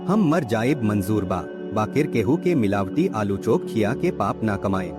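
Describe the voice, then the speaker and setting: A poor, honest fritter seller from a cartoon moral tale, played by a man, with a low, expressive voice and a Bhojpuri accent. He speaks in an earnest, pleading, principled way over loud music. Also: moderate pace. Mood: firm, principled. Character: poor, honest, devout male potato-fritter street vendor, married. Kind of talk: animated moral story dialogue. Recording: loud music bed behind voice.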